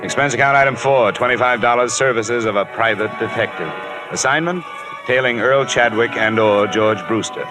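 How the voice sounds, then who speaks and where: An actor playing a tough, no-nonsense investigator in an old radio detective drama, speaking in a clipped, matter-of-fact way. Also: male voice, mid-range voice, normal speed, lightly acted, poor audio. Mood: matter-of-fact narration. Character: hard-boiled, no-nonsense male insurance investigator narrating his case. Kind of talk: 1940s-50s radio detective drama acting. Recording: old radio recording with music.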